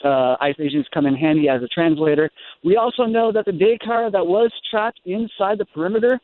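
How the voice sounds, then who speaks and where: An American man reporting breaking news live from the scene for radio, speaking in a halting, ad-libbed way and correcting himself as he goes. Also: high-pitched. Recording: thin phone-like live radio line.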